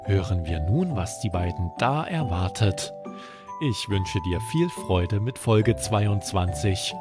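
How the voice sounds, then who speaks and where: A man's voice: low-pitched, expressive, takes it slowly, music in the background. A man narrating a scripted bedtime story for children as the host.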